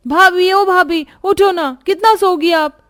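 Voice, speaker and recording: A woman's voice: high-pitched, normal speed, dry recording, clean studio sound, faint music behind, pitched above the performer's own voice, close to an everyday voice. A woman voicing five greedy, bossy young sisters-in-law who keep demanding food. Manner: bossy, whiny, loud.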